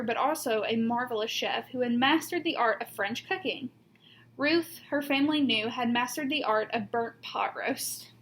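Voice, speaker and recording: Higher-voiced woman reading aloud, noisy recording. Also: a bit bright. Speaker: teacher reading a children's book aloud. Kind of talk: read-aloud of a picture-book biography for children. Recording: noticeable background noise.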